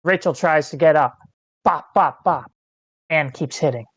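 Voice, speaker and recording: A man's voice: a little high, a little slow, a bit bright, breathy edge, no room sound. A man reading stage directions as narrator at a screenplay table read.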